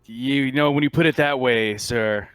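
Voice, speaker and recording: A man's voice: mid-range voice, unhurried, fairly bright tone, clear, resonant tone, dry recording, natural voice. A man voicing an earnest, respectful Marine in a tabletop roleplaying game. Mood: earnest, won over. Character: red-blooded Marine, won over by the squad leader.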